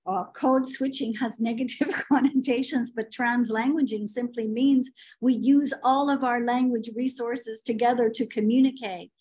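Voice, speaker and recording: Middle-aged woman guest, slightly high pitch, forthright and chatty with fillers. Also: fairly even intonation, normal speed, few pauses, a little mellow, slightly rough. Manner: opinionated, storytelling, frequent fillers. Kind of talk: podcast conversation.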